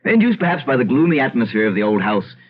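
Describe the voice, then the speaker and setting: An actor playing an inquisitive, determined gentleman investigating a haunted house, theatrical and excitable, with stammered repetitions, in old-time radio drama style. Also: medium pitch, quick pace, natural voice. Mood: troubled, intense. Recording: dull old radio broadcast with loud music.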